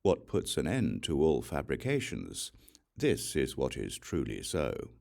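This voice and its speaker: A man reading scripture as audiobook narration, solemn, measured and deliberate in his delivery. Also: very deep voice, huge pitch swings, gravelly, darkish tone. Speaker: audiobook reader.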